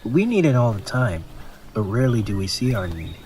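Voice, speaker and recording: A man's voice: on the low side, big pitch swings, darkish tone, slight background noise. A man playing a patient, pious mentor who speaks formally and instructively in an amateur audio drama. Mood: solemn, instructive. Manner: measured, formal, preachy. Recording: clear music bed under dialogue.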